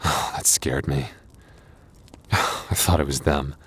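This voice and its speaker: A man playing a gentle, anxious young werewolf boyfriend in an intimate audio roleplay, speaking softly and haltingly. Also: a bass voice, fairly even intonation, breathy edge, exaggerated voice. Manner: intimate, hesitant, soft-hearted, halting.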